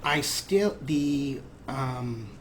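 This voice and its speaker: A man's voice: mid-range voice, lively intonation, very slow, noisy background. A man hosting a let's play, giving casual game commentary with wry, dry humor. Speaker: gaming video host.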